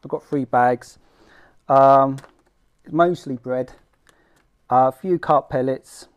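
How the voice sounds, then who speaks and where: A middle-aged man with a British accent hosting an informal homemade how-to vlog, talking in a halting, self-deprecating way as he works. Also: mid-range voice, clear, resonant tone, highs cut off. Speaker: fishing vlog host. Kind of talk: casual how-to demonstration. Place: small room at home, indoors.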